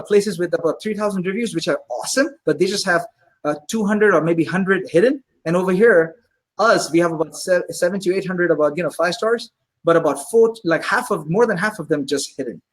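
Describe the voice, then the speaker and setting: A man who owns a pizzeria, telling stories as a guest in a casual interview, animated and full of fillers. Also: high-pitched, brisk, slightly rough. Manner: animated storyteller, many fillers.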